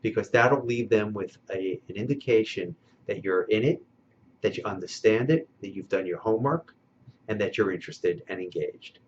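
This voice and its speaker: Low-voiced man giving emphatic advice, dull recording. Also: a little hiss or hum. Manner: emphatic, deliberate, repeats key phrases for stress. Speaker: recruiter giving job-seeker advice. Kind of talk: unscripted instructional advice to camera. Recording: dull, band-limited sound.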